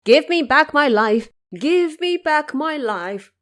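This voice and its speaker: A woman voicing vengeful headless ghosts of the slain, yelling desperate demands in an audio drama. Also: expressive, slow, heavily acted. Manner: shrill yelling, wailing demands.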